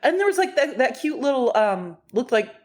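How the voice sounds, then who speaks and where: Animated woman telling road trip stories. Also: high-pitched, normal speed. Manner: animated, laughing, swearing storyteller. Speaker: podcast co-host. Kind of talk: casual chat.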